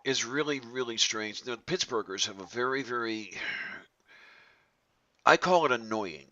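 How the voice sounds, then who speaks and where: A man's voice: mid-range voice, lively intonation, measured pace, pushed and bright. A man hosting a casual live-stream chat, talkative and laughing often. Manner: chatty, laughs often, restarts sentences. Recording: dull lo-fi stream audio.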